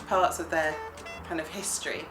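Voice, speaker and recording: Woman coordinator, low voice, earnest, roomy room, music bed. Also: fairly even intonation, normal speed. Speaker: project coordinator. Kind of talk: documentary interview.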